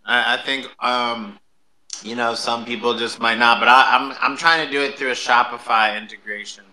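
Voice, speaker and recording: A man's voice: mid-range voice, steady tone, moderate pace, bright, forceful tone, poor audio. A man hosting a casual live chat in an informal way. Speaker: host.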